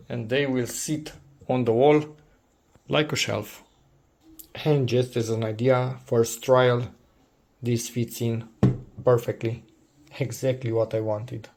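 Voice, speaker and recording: Gravelly-voiced man in a casual DIY vlog. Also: medium pitch, a little mellow. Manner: thinks aloud with emphatic repetition. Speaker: DIY video host. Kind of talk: casual unscripted workshop vlog commentary.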